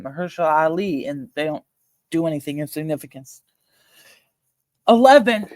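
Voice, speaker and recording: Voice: mid-range voice, dry recording. A person giving casual, opinionated film commentary as a host, speaking informally and repeating phrases for emphasis. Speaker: host ranking films.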